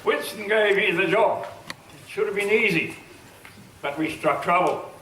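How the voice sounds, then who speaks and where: Worried British admiral, played by a man, sharp high voice. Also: unhurried, slight background noise. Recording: echoey hall.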